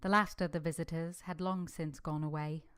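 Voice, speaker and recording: Casting: devoted young servant heroine, slightly low voice, earnest and composed, close dry sound, vintage radio drama. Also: female voice, fairly even intonation, a bit bright, smooth, no echo. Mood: solemn narrating. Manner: earnest, deferential, measured. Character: devoted, composed young woman serving a gentleman. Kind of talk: old-time radio drama acting and narration.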